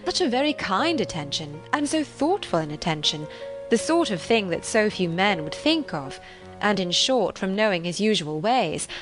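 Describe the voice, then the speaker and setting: A woman narrates a literary audiobook expressively. Her medium-pitched voice moves with a lively range, and relaxing music plays behind her.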